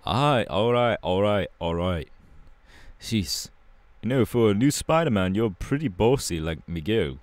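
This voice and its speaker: A low-pitched, cocky young punk hero from an audio roleplay, acted by a man, talks in a London accent. He is slangy, irreverent and laid-back, feeling his way through sentences. The sound is close and dry. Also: lively intonation, no room sound. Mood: grudging, casual. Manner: casual, slangy, halting, irreverent.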